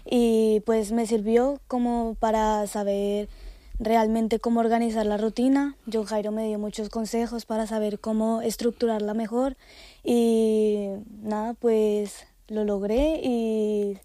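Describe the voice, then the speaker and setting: A woman's voice: a little high, little pitch movement, takes it slowly, warm tone, dry recording. A woman, an athlete answering conversationally in an interview. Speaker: athlete and coach, interview guest.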